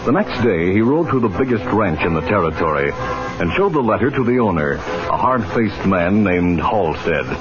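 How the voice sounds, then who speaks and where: A theatrical male announcer narrates in classic radio style, swinging through a wide pitch range. The recording is dull and vintage, with prominent music behind his declamatory delivery. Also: sing-song, moderate pace. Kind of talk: dramatic 1940s-50s radio announcing and scripted narration.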